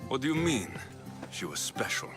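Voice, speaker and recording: A man's voice: medium pitch, big pitch swings, moderate pace, close to an everyday voice. An actor playing an earnest young male warrior of ancient Greece in a video game, speaking plainly. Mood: searching. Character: earnest young Greek warrior, long-lost son. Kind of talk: video game cutscene acting. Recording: game music behind.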